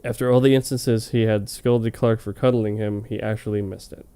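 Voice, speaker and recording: A man's voice: fairly low pitch, steady tone, normal speed, dark tone, smooth. A man reading fan fiction on a comedy show in a hammy, mock-dramatic way, with casual, amused asides. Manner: hammy, amused, breaking off to comment.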